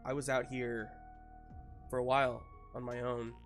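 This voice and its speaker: A man voicing a blunt, foul-mouthed woman superhero who comforts awkwardly and haltingly in a tabletop game. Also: medium pitch, level tone, soft background music, lightly acted. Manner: halting, casual, swearing bluntly.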